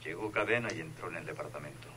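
A man's voice: extremely low pitch, fast, pushed and bright, breathy edge, heavily acted. An actor playing an obedient, cautious henchman reporting deferentially in a radio serial. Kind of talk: radionovela acting.

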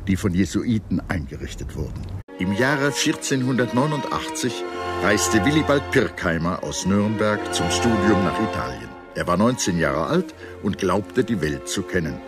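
A man reading scripted historical documentary narration in a measured, articulate storytelling manner. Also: on the low side. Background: background music.

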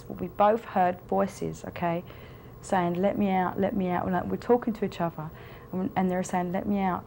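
A woman's voice: mid-range voice, vocal fry, warm tone, a little hiss or hum. A young woman telling a personal story to the camera in a rambling way, full of fillers. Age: young adult. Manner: rambling, with many fillers and restarts. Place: indoor studio with a plain wall.

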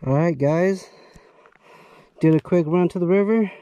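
A man fishing on a rocky riverbank, talking to an action camera on his chest, switching between excited whoops and quiet murmured asides, a little out of breath. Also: sits high, measured pace, muffled recording, a little hiss or hum. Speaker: fishing vlogger. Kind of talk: excited outdoor fishing commentary. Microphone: chest-mounted action camera.

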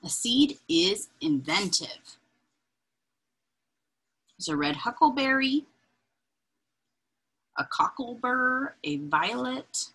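A woman reading a children's picture book aloud in an expressive, deliberate, breathy way, adding informal asides as she points things out. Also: fairly high pitch, low-quality recording. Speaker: read-aloud reader. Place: indoors, small room with bookshelves.

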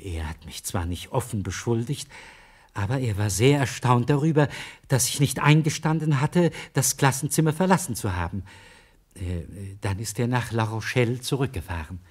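An actor in a radio crime play as an anxious, earnest village schoolteacher who speaks haltingly. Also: male voice, mid-range voice, husky, close to an everyday voice. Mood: uneasy explaining. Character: anxious, hesitant village schoolteacher, a married man under suspicion. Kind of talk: German radio play acting.

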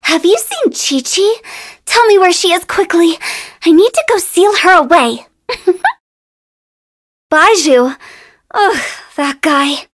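A woman's voice: very high voice, expressive, slow, long pauses, heavily acted. A woman voicing a playful, eccentric young female funeral director in a video game, with a theatrical, teasing, sing-song delivery. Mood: gossipy, mischievous. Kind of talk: video game character voice lines.

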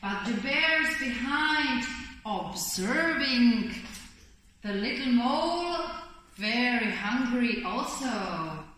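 A woman storyteller with a high voice speaks very slowly and simply, as if to small children. She guides listeners through a picture book with expressive phrasing and little questions. The room is echoey. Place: indoor children's classroom. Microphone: camera a short distance away. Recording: roomy echo, faint background noise.

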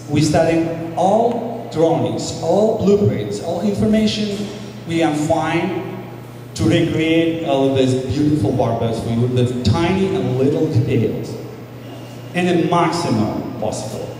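A man from Russia with a Russian accent, presenting in slow, halting English as a game producer, speaking into a handheld mic in a large hall. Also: on the high side, mellow, a little hiss or hum. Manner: halting non-native English, slow and deliberate. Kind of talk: presentation to an audience. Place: large hall with flag backdrop. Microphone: handheld mic, recorded by a far camera. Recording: echoey hall recorded from far off.

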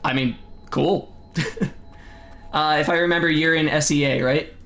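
A young man from the United States chatting casually with his stream chat while gaming at home, reading messages aloud and breaking into sudden loud exclamations. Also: a little high, steady tone, a little slow, soft background music. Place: home room, seated in desk chair.

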